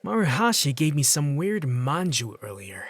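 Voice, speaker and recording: A man's voice: a little high, very animated, normal speed. A man playing a weary, wry teenage boy who narrates his night in a visual novel fan dub, dry and matter-of-fact. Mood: tired, matter-of-fact.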